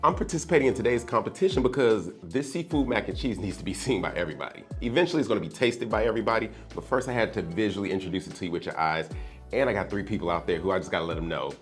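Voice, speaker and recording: A man with a very deep voice talks to the camera in an animated, playful way. He is a private chef, and faint music runs beneath him. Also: very fast. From Chicago Heights, Illinois. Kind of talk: casual talk to camera.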